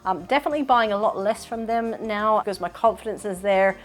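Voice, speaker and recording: A woman's voice: mid-range voice, brisk, soft background music. A woman in her thirties with a mainly Australian accent touched by British and American sounds, chatting upbeat in a sewing Q&A vlog. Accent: Australian with British and American traces. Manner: chatty, upbeat, self-reflective. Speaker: sewing vlogger. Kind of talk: casual Q&A vlog.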